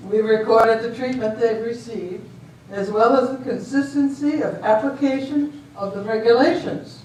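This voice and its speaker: Woman acting as composed female official, formal, measured, stage reading, distant mic, faint noise. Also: a little high, darkish tone, heavy reverb, natural voice. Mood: explanatory, assured. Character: composed, decisive female official judging a border dispute. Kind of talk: amateur readers theater stage reading.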